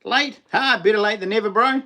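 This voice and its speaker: A middle-aged man with a New Zealand accent, talking casually to his viewers on a livestream. His voice sits a little high for a man. He rambles, laughs often and drops in plenty of slang. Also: fairly quick, pushed and bright. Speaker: livestream host.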